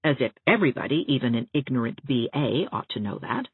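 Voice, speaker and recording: A woman's voice: extremely low pitch, big pitch swings, fast talker, breathy edge, dry recording. A woman narrating a first-person novel as an audiobook, reading expressively. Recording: thin, band-limited phone-like sound.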